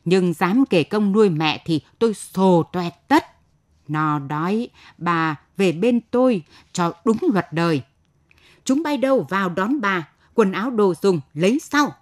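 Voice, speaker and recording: A woman's voice: medium pitch, animated, unhurried, natural voice. A woman voicing a hot-tempered, scornful, bossy village man in a story. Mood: commanding.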